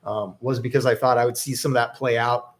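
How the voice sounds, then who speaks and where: A man's voice: mid-range voice, steady tone, brisk. A man casually hosting a live investing chat, rambling and hesitant, with an upbeat tone. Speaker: stock investing livestream host.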